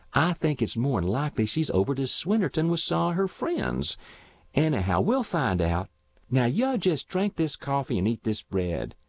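A man's voice: medium pitch, creaky, no room sound, pitched above the performer's own voice, exaggerated voice. A man voicing a stout, kindly old countrywoman who fusses over a guest, with a twangy rural way of talking. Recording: dull muffled dry recording.

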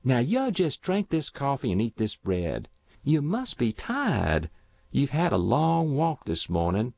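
A man's voice: medium pitch, huge pitch swings, a little slow, gravelly, no echo, dull audio, voice pushed up, full character voice. A man voicing a stout, kindly old farm woman who fusses and exclaims in rural American speech for an audiobook. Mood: coaxing, motherly. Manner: exclaiming, folksy. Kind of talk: audiobook character voice.

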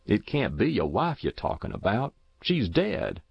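Man playing a folksy, drawling, animated farmer. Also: on the low side, unhurried, vocal fry, husky, no room sound, dull audio, full character voice. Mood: amazed. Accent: rural American. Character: solid, hearty, astonished middle-sized farmer neighbor. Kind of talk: audiobook character voice.

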